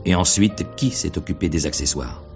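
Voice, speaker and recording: An actor playing a probing, sly middle-aged police inspector in a radio mystery play, questioning in a hesitant, deliberate way. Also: male voice, low-pitched, music in the background. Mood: methodical questioning. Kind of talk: French radio mystery play acting in 1950s manner.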